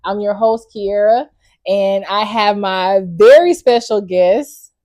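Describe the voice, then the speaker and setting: An enthusiastic woman hosts a faith-centered podcast and cheers her guest on. Her voice sits in a middle pitch and is recorded dry and close, with no room sound. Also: slow pace, mellow, no echo. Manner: enthusiastic, encouraging. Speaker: podcast host. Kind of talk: casual faith podcast chat.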